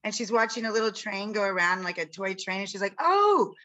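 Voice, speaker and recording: A middle-aged woman with a deep raspy voice and a bright, forward tone, sitting at a middle pitch for a woman. She chats casually as a podcast guest, telling her stories with a self-deprecating, talkative manner. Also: very animated, a little fast. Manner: self-deprecating, chatty storyteller. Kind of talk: casual chat.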